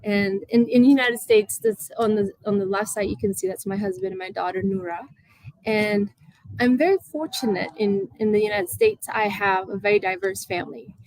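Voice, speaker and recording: A woman's voice: mid-range voice, steady tone, moderate pace, a little mellow, dry recording, poor audio. Hesitant Malaysian-born woman on a call. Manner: hesitant, many fillers and restarts. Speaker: guest panelist, art professor. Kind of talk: online panel presentation.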